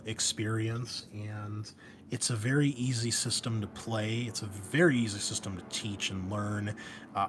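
A man's voice: fairly low pitch, a bit bright. A man hosting a casual introduction, talking in an enthusiastic, rambling way as a game master. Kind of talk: casual introduction talk to listeners. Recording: clear background noise.

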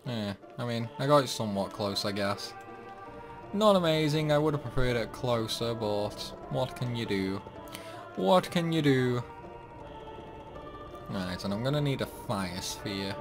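Casting: young male gaming host, relaxed chatty commentary, faint game music underneath. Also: mid-range voice, measured pace, pauses often. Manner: chatty, self-deprecating, exclaims at mistakes. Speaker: gameplay channel host.

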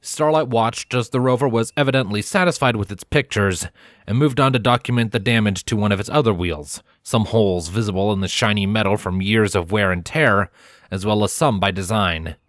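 A man's voice: fairly low pitch, brisk, fairly bright tone, no echo, studio quality. A man reading a scripted story as its narrator. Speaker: audiobook-style fan fiction narrator.

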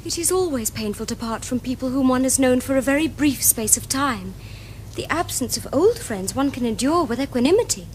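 Casting: young upper-class English ingénue, high voice, sweet and prim, period comedy, faint noise behind. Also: female voice, expressive, brisk. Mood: wistful. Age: young adult. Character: sweet, formal young Victorian lady, primly romantic.

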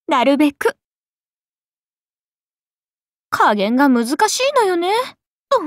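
A woman's voice: extremely high pitch, no room sound, clean studio sound, full character voice. A woman voicing a playful, teasing, carefree oni goddess in an anime game, with a casual, airy drawl. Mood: playful, breezy. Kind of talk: Japanese anime game voice acting.

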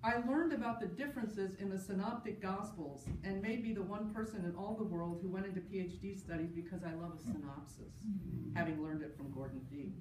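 A woman's voice: medium pitch, brisk. A middle-aged woman gives a personal tribute talk at an academic conference, partly from notes, in a wry, anecdotal way with amused asides. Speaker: panel speaker, New Testament scholar. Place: large indoor conference session room. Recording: roomy, distant mic, clear background noise.

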